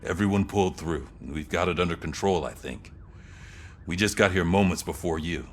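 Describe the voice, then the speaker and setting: An actor playing a loyal male police ally with plain, steady, reassuring speech in a game cutscene. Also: low voice, normal speed, slight background noise, close to an everyday voice. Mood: weary. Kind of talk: video game cutscene acting.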